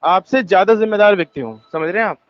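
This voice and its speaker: High-voiced man rambling on a muffled phone call. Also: fast, slight background noise. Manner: rambling, defensive, heavy fillers. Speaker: phone caller. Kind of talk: tense phone conversation.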